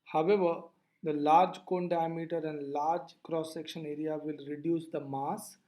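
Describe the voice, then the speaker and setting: Mellow-voiced physics lecturer. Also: medium pitch, level tone. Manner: didactic, deliberate, poses questions then answers them. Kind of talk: explanatory lecture over slides.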